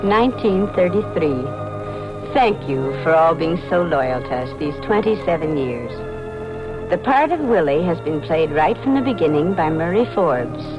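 Muffled old-radio actress over music. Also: female voice, medium pitch. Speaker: radio serial star. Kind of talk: old-time radio scripted sign-off. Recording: dull vintage radio recording.

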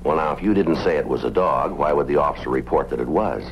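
An actor playing a terse, stoic police detective in a radio drama, with a deadpan, clipped delivery. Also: male voice, extremely low pitch, fast talker, highs cut off. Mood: dry, skeptical. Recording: noisy old radio recording.

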